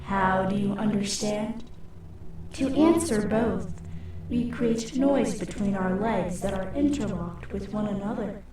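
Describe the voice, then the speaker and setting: Radio drama creature: actress voicing an eerie, curious insect swarm, slow and mellow, formal in phrasing. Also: female voice, mid-range voice, lively intonation, lightly acted. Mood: eerily polite. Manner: measured, formal, speaks as "we". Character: eerie insect hive-mind creature, curious, speaking as many. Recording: echoing, distant voice with faint noise.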